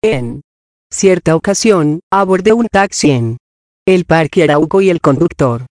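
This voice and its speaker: A Colombian man who works as a construction foreman, giving a personal testimony in measured, formal wording. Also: fairly high pitch, lively intonation, a little mellow, no echo, clean studio sound.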